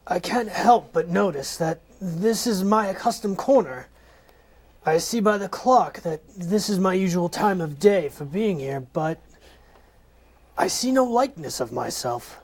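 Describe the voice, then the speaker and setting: A man's voice: sits high, expressive, a little slow, slightly rough, exaggerated voice. A man doing a stammering old miser. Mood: fussy. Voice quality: hesitant, stammering. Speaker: lead actor in a comedy reading. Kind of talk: amateur comedic radio play reading.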